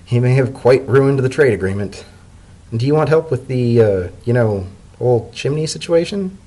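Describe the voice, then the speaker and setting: A man's voice: medium pitch, lively intonation, normal speed, dark tone, pitched above the performer's own voice, close to an everyday voice. A man voicing an unflappable female pony secretary with a casual, matter-of-fact manner in a storybook reading.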